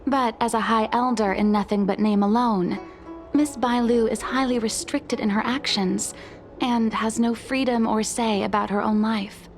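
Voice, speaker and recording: A woman's voice: fairly high pitch, fairly even intonation, normal speed, lightly acted. Woman playing an earnest, deferential maid over faint music. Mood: pleading. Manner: formal, polite, earnest phrasing. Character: devoted, deferential female maid pleading for her young mistress. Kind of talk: scripted video game voice acting.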